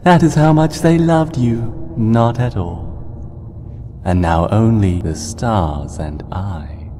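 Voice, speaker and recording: A man voicing a cruel, monstrous mare villain in a dark fantasy story, taunting in drawn-out words and hissed whispers. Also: lowish voice, long reverb tail. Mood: taunting, sinister.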